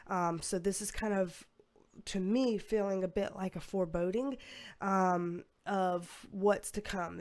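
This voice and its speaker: A young woman reading tarot cards to camera in a casual, rambling way, her voice going hoarse. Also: mid-range voice, a little mellow. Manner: rambling, filler-heavy, with sighs. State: losing her voice, a bit hoarse. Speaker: tarot reader.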